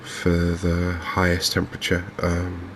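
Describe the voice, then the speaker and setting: A man with an English accent giving soft-spoken, hesitant, unhurried gameplay commentary in an ASMR style. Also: deep voice. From England. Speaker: gaming video host. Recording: clear steady background noise.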